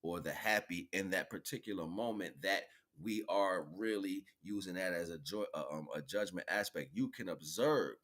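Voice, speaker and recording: A man's voice: low-pitched, moderate pace, few pauses, a bit bright. A man giving an improvised motivational monologue as a livestream host, preaching in colloquial phrasing with emphatic repetition.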